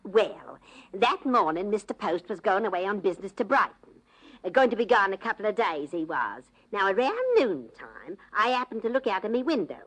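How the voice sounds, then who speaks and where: Animated, gossipy English housewife. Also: female voice, mid-range voice, normal speed, breathy edge, low-quality recording. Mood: chatty, flustered. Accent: English working-class. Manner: rambling, folksy, self-justifying. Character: gossipy, garrulous working-class English housewife neighbour. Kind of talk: old-time radio character acting.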